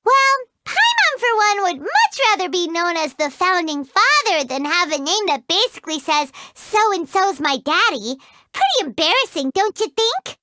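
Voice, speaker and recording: A woman voicing a tiny, excitable fairy companion in a video game who talks about herself in the third person. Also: moderate pace, noisy background. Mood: chirpy, excitable. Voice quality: high squeaky childlike voice. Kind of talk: video game character dialogue.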